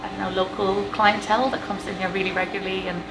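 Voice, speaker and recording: A woman's voice: mid-range voice, flat delivery, fast talker. A woman in her thirties with a Galway Irish accent, a café owner chatting casually in an interview with lots of fillers. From Ireland. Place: inside her small café. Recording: echoey café room with background noise.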